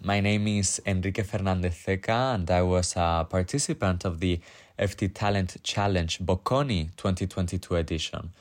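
Very deep-voiced young man from Spain. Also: normal speed. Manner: formal self-introduction. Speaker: intern asking a question.

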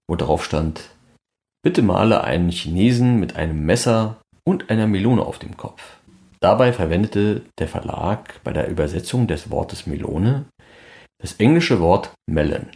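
A man's voice: sits low, lively intonation, measured pace, a little mellow. A man presenting a podcast in scripted, documentary-style narration.

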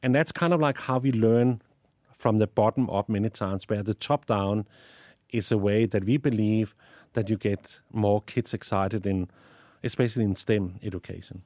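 A man's voice: fairly low pitch, normal speed, a little mellow, no room sound. A man from Denmark with a Danish accent, answering interview questions about teaching, often repeating words as he thinks. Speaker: guest, STEM educator.

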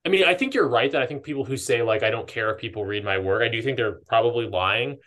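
A man in a casual, reflective podcast conversation, speaking as a host in a halting, thoughtful way and often rephrasing his ideas. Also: mid-range voice, fairly bright tone.

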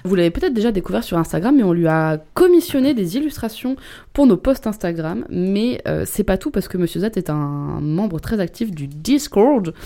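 A woman co-hosting a casual podcast, chatty and relaxed, announcing a giveaway. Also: mid-range voice, moderate pace. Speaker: podcast co-host.

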